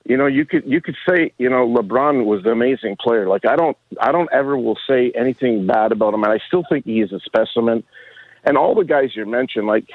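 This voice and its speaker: A man in his sixties phones in to a radio show. He rambles in a chummy, halting, casual way at an ordinary pitch. The line is slightly dull and dry, with little room sound. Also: animated, dry recording. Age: 60s. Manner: halting, chummy, says "bro" often. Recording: dull band-limited call-in line.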